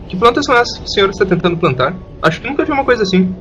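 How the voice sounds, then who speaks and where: Male actor as young orphan boy, high voice, quick pace, Brazilian accent, frank and curious, audio drama. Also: very animated, bright, forceful tone, lo-fi sound, slight background noise, full character voice. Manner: frank, inquisitive.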